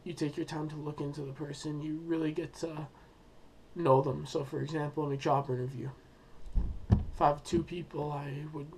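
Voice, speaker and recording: A young man who is sick and losing his voice, giving an unscripted school presentation with many pauses and fillers. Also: a little high, flat delivery, a little mellow, a little hiss or hum, low-quality recording. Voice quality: hoarse. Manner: hesitant, many ums and uhs, thinking aloud. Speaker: student presenting a school project.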